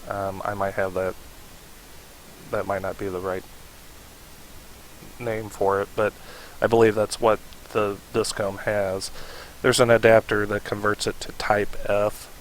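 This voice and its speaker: A man narrating a casual how-to tutorial in a halting manner, with pauses to think. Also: lowish voice, poor audio. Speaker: tutorial host. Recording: steady hiss behind the voice. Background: hiss.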